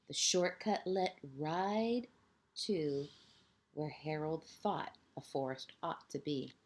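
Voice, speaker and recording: A woman reading a children's picture book aloud like a kindergarten teacher, expressive and deliberate, with dramatic pauses and emphasis for young listeners. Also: deep voice. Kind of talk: children's storybook read-aloud.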